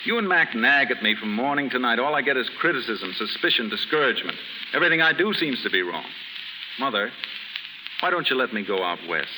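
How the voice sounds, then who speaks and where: An actor playing an aimless young American son, aggrieved and wryly flippant, in a 1930s radio drama. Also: male voice, medium pitch, lively intonation, brisk, natural voice. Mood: aggrieved, pleading. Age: young adult. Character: aimless young wastrel son, aggrieved, wryly self-mocking, fond of drink. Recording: very noisy 1930s radio recording.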